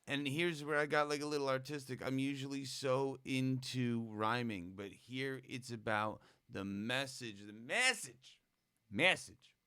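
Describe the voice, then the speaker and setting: A hoarse man, a musician, chatting casually and rambling between songs for his fans. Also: mid-range voice, measured pace, sharp tone, a little hiss or hum. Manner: rambling, loose, with casual slang asides. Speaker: musician hosting a fan update. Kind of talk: casual late-night chat between songs. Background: guitar playing.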